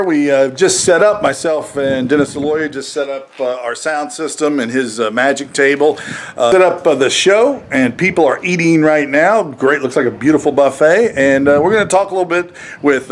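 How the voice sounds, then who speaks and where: A man's voice: a little high, normal speed, a little hiss or hum. Chatty man hosting a casual vlog. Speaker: comedian host of a road vlog.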